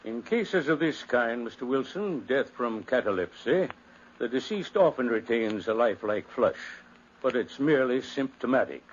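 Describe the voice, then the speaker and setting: A muffled 1950s radio recording with faint noise presents a man acting as a cautious doctor. He speaks formally and deliberately, with widely varied pitch. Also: lively intonation, close to an everyday voice. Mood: measured. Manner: clinical, deliberate.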